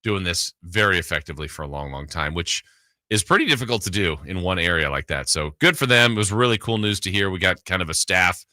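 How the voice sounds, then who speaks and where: A man's voice: deep voice, expressive, fast, bright, forceful tone, no echo, clean studio sound. A man hosting a sports radio show in casual, chatty and enthusiastic talk.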